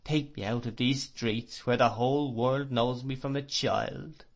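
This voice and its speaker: A man's voice: medium pitch, steady tone, unhurried. A man voicing a disgraced young woman who begs to be taken away. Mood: desperate. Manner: pleading, ashamed.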